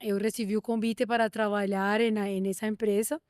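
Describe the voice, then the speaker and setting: A woman hosting a podcast interview, asking measured, thoughtful questions with frequent restarts. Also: medium pitch, little pitch movement, darkish tone, dry recording, clean studio sound. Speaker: podcast host. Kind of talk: interview conversation.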